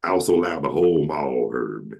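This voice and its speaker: Very deep, gravelly man. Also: expressive, a little fast, a little mellow, highs cut off. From Atlanta, Georgia. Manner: joking, slips into comic impressions. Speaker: show host. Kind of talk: casual storytelling chat.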